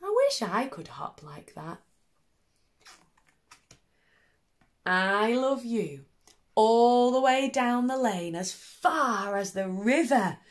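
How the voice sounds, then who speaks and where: Storytelling woman as young male hare, small, eager, loving, emphatic, lilting, drawn-out words. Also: a little high, lively intonation. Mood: eager, wishful. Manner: drawn-out, emphatic, childlike boasting.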